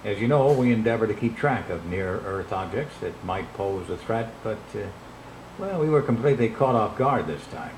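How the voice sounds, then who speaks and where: A man's voice: lowish voice, expressive, normal speed, a little mellow, close to an everyday voice. A man playing a cautious, learned scientist who speaks formally and hesitantly in a radio drama interview. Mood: guarded, careful. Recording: echoey room playback, faint noise.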